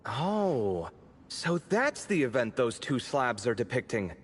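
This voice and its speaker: A sharp young adventurer answers with dry corrections in a video game scene. His intonation moves with a lively rise and fall. Faint noise sits under him. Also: mid-range voice, slow pace.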